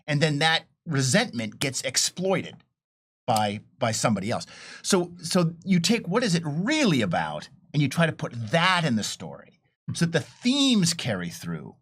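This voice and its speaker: A man's voice: fairly high pitch, wildly swooping pitch, moderate pace, a bit bright, slightly rough. A man explaining his points casually as a panelist, posing rhetorical questions as he goes. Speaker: panelist, adventure developer.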